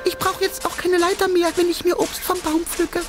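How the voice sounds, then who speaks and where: A woman's voice: extremely high pitch, level tone, normal speed. A woman voicing a cheeky, boastful little boy king in a children's cartoon, theatrical and naive, full of exclamations. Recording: music behind the voice.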